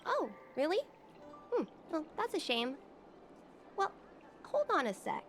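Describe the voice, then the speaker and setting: High, lively young tea house owner. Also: female voice, unhurried, exaggerated voice. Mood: wistful, hopeful. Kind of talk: video game voice acting. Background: game music.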